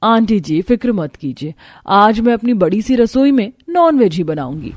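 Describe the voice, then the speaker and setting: A woman's voice: medium pitch, sing-song, moderate pace, dry recording. A woman playing a haughty, spoiled rich young daughter-in-law in a cartoon story, boastful and condescending.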